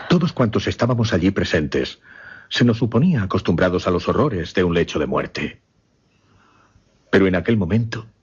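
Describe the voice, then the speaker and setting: Grave mesmerist, deep and very husky. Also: male voice, heavily acted. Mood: horrified. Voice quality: husky, breathy. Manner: suspenseful, halting, with dramatic repetitions. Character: grave mesmerist man recounting a horrifying case. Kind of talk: dramatic radio horror storytelling. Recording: dull radio drama recording.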